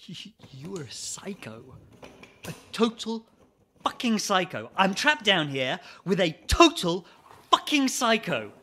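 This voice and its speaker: A man's voice: sits high, big pitch swings, measured pace, pushed and bright, a little hiss or hum, heavily acted. A man acting in a radio play as an exasperated, sarcastic ex-army geologist. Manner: sardonic, sweary, incredulous.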